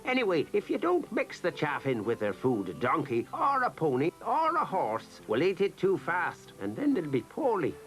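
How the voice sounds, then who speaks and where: Old Irish storyteller: playful, lilting, very animated pitch, faint music bed. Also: male voice, moderate pace, few pauses. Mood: reassuring. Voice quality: lilting, playful, spins tall tales. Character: kindly teasing old Irishman, a donkey keeper. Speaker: storyteller character. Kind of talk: children's drama acting.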